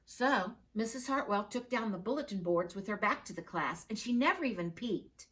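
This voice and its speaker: A woman teacher reading a picture book aloud with expressive storytelling. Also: medium pitch, moderate pace.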